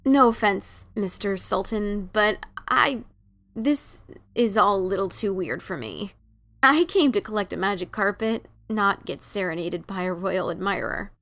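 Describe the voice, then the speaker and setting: Woman playing a brash, expressive adventurer mare. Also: mid-range voice, measured pace. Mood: mocking, blunt. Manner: blunt, incredulous, informal. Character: brash, skeptical adventurer mare, adult female pony. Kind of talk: fan fiction dramatic reading.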